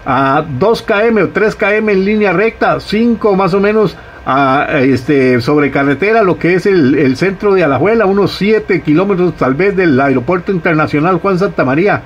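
A man's voice: high-pitched, lively intonation, moderate pace, few pauses, highs cut off. Costa rican guy on his ham radio, friendly, radio jargon, a bit of hiss. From Costa Rica. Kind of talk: casual radio chat.

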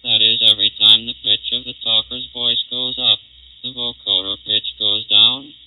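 A man giving a formal, measured technical demonstration in 1930s style, his speech turned synthetic and robotic by a vocoder. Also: mid-range voice, little pitch movement. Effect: synthetic robotic vocoder processing. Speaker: laboratory demonstrator. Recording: muffled, phone-like 1939 test disc recording.

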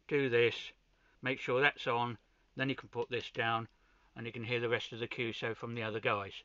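A man's voice: medium pitch, flat delivery, normal speed, bright, forceful tone, dry recording. A man giving a casual, unscripted equipment walkthrough as a hobbyist, often hesitating and repeating his words. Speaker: amateur radio hobbyist presenting a demo. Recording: dull, lo-fi sound.

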